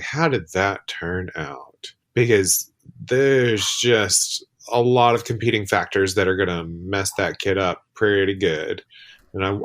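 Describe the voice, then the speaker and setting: Male podcast guest, lower voice, candid, wry storyteller. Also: a bit bright. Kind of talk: casual podcast chat.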